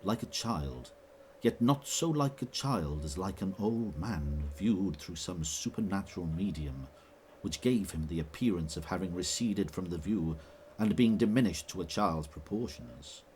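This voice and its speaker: A man narrating a classic story in a measured, expressive reading. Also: low-pitched, slight background noise, poor audio.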